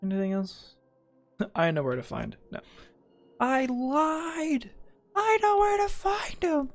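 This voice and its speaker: Slow, creaky-voiced woman streaming a game. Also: sits high, animated. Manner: flippant, joking, sweary. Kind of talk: casual playthrough commentary. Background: game music.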